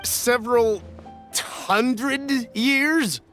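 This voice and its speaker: Flustered, high-voiced cautious buyer. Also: male voice, drawn-out words, fairly bright tone, heavily acted. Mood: flustered, apologetic. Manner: fretful, polite, wordy. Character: anxious, cautious, flustered male buyer of modest means. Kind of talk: scripted video game dialogue. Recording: game music behind.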